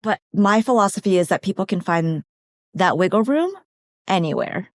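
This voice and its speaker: A woman's voice: medium pitch, lively intonation, moderate pace, dry recording, studio quality. A woman from California, a dietitian on a podcast, explaining food and nutrition in a practical, step-by-step way. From California, USA. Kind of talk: conversational expert explanation.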